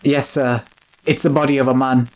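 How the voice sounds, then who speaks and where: A man's voice: medium pitch, little pitch movement, moderate pace, close to an everyday voice. A man playing a nervous, deferential manservant in a radio drama, with a fretful, complaining way of talking. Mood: frightened. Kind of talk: classic radio drama acting.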